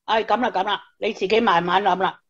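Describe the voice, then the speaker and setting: An actress with a Hong Kong Cantonese accent playing a grumbling, skeptical, fun-loving young friend in a radio drama, with drawn-out, sighing delivery. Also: female voice, mid-range voice, steady tone, muffled recording, lightly acted. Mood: dismissive, teasing.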